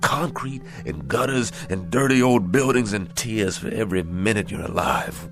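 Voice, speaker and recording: An actor playing a weary, washed-up American boxer, gentle but proud and disillusioned, in a radio drama. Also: male voice, lowish voice, lively intonation, moderate pace, soft background music. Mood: weary, bitter.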